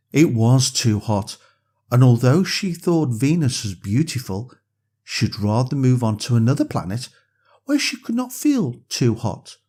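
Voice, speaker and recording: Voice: mid-range voice, dark tone, breathy edge. A storyteller narrating a scripted bedtime story for young children.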